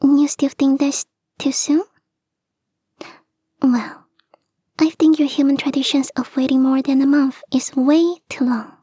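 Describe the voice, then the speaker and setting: A woman voicing a clingy young kitsune fox girl in an intimate ASMR roleplay, soft and breathy, often whispering, coaxing and sweetly insistent, close to the microphone. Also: sits high, steady tone, a little slow, pauses often, no echo. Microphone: close, dry microphone.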